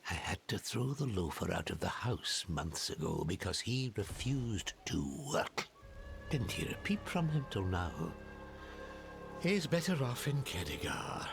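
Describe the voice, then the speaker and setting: Gravelly, grumbling old farmer. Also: male voice, lowish voice, sing-song, unhurried, heavily acted. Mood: grumbling, dismissive. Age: older. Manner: gruff, grumbling, blunt. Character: gruff, grumbling old farmer of a besieged village. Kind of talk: dramatic video game acting. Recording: music behind.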